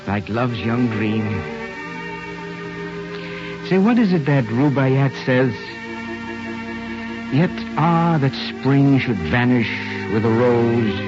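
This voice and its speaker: A male actor playing an awkward, tender middle-aged American father in a halting 1940s radio drama style. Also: mid-range voice. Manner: halting, hemming and throat-clearing. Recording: muffled vintage radio with music behind.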